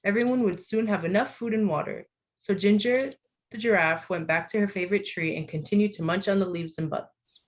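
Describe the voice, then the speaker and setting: A woman volunteer reading a children's story aloud with expressive storytelling over a video call, lifting her voice for the animals' lines. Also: medium pitch, a little fast. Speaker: volunteer reader. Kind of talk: reading a picture book aloud.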